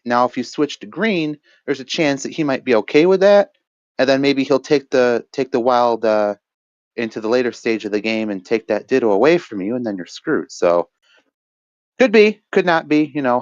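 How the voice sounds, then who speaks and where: A man giving casual, reflective analysis as a match co-commentator, often pausing mid-thought over a voice chat. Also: mid-range voice, no room sound, muffled recording. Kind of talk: casual esports-style card game commentary.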